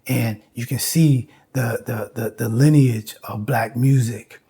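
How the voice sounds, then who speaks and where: A man's voice: medium pitch, fairly even intonation, takes it slowly, dark tone, slightly rough. A man giving an informal lecture with recited lyrics, speaking emphatically and repeating phrases for emphasis. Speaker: host and lecturer on music history.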